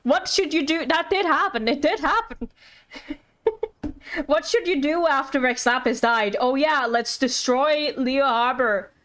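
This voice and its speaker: High-voiced woman streaming a game. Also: fairly bright tone. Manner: rambling, halting, playful. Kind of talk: casual live gameplay commentary.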